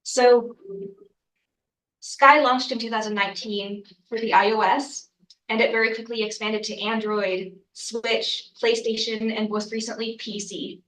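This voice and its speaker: A woman's voice: on the high side, steady tone, measured pace, fairly bright tone, distant mic. Congested young woman presenting in echoey room. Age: young adult. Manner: earnest, with frequent ums and uhs. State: congested from a cold. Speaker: student presenter. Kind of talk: academic research presentation. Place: lecture room.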